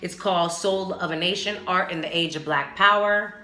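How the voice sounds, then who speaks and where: Candid, slangy low-voiced woman podcaster in roomy echo. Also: normal speed, bright, forceful tone. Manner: candid, slangy, emphatic, swears freely. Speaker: podcast host. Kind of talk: casual solo podcast talk. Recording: roomy echo, music behind the voice. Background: music.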